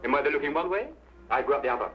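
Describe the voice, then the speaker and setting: An actor playing an excitable, cheeky showman in an old-time detective drama, chattering and theatrical. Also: mid-range voice, racing, soft background music, natural voice. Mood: chirpy. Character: Harry Crocker, excitable cheeky showman protesting his innocence. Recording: muffled, noisy old radio-era recording.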